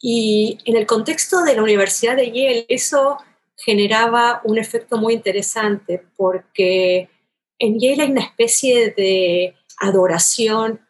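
Husky-voiced Argentine porteño woman scholar. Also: a little high, measured pace. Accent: Argentine porteño Spanish. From Buenos Aires, Argentina. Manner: thoughtful, elaborate, with frequent restarts and "¿no?" tags. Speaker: literary scholar, interview guest. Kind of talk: academic interview talk.

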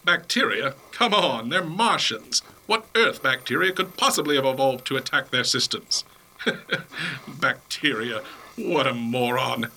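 A man's voice: medium pitch, lively intonation, a little slow, gravelly, bright, forceful tone, heavily acted, low-quality recording. A man playing a pompous, impatient scientist professor in a comic 1930s-style radio play, delivered melodramatically and dismissively. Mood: dismissive, smug. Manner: melodramatic narration turning dismissive. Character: pompous, impatient male scientist professor recounting a Martian invasion. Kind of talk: 1930s radio play acting, comedy spoof.

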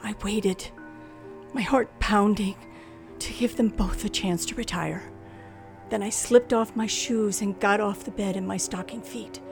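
A woman's voice: mid-range voice, steady tone, unhurried, creaky. An actress playing a frightened woman narrating her own ordeal in a 1940s-style radio thriller, dramatic and suspenseful. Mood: tense, hushed. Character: frightened young woman narrator held captive by killer. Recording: old radio drama with music behind.

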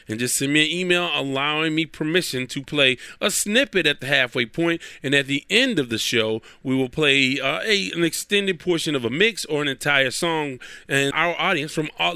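A man's voice: a little high, bright, forceful tone, clean tone, no room sound, studio quality. A man hosting his own podcast in casual, rambling talk, nasal and stuffy from a cold. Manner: rambling, chatty, repeats himself. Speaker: podcast host.

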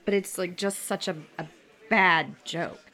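A woman's voice: lowish voice, measured pace, pushed and bright. A woman acting a sugary but prickly young restaurant customer in a scripted read. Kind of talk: acted script table read.

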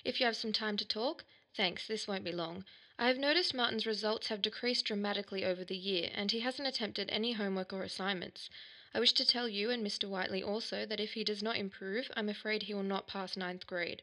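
An Australian actress playing an earnest, polite school counsellor and teacher in a radio drama. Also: female voice, medium pitch, brisk, pushed and bright. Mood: formal, concerned.

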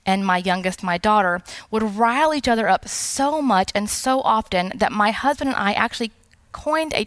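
A woman in her forties from South Texas, preaching a sermon in a calm, soft-spoken way, with slow, deliberate emphasis and wry asides. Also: medium pitch, no room sound.

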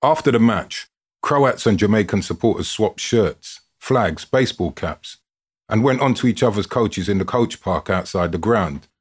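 A man with a husky voice giving an expressive, dramatic scripted reading, like a storyteller narrating a written account. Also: fairly low pitch, moderate pace. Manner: expressive, dramatic delivery with built-up lists.

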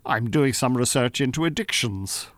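An actor with an Irish accent playing a smooth, bossy, pompous hypnotist and con-artist in a radio drama. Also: male voice, mid-range voice, animated, moderate pace, no room sound, lightly acted. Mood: formal, flustered.

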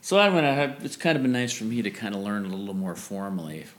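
A man with a lowish voice chats in a relaxed, meandering way, often restarting his sentences. He laughs easily as he talks, recorded at home. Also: fast talker, slight background noise. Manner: rambling, self-correcting, laughs easily. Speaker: musician guest. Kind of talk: casual interview chat. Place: family home.

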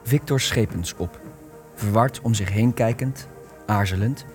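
A man narrates a radio drama in a lowish voice. He reads stage directions in a measured, descriptive way over faint music. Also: darkish tone. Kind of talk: scripted narration for radio play.

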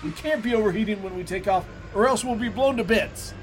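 A woman's voice: mid-range voice, fairly quick, lightly acted. Woman acting bossy anxious young space pilot, sci-fi audio drama, big score playing under her. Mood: brisk, commanding. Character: brisk, anxious, commanding young female starship pilot. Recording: dramatic music bed over dialogue. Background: loud music.

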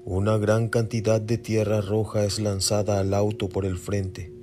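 A man's voice: fairly low pitch, flat delivery, measured pace, dark tone, faint music behind. A man narrating a scripted suspense audio drama.

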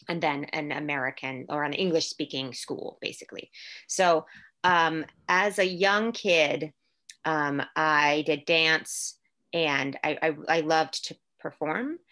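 A woman's voice: deep voice, pushed and bright. A young adult woman from Puerto Rico, raised in Florida, talking casually as a guest with many fillers and restarts. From Puerto Rico, raised in Orlando, Florida. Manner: chatty, lots of fillers and restarts. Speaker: guest, actor. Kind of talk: casual conversation.

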